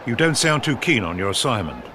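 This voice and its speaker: An actor playing a gruff, opinionated senior government official in a period audio drama, clipped, dry and authoritative. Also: male voice, medium pitch, very animated, fairly quick, close to an everyday voice. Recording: outdoor sports crowd and noise behind.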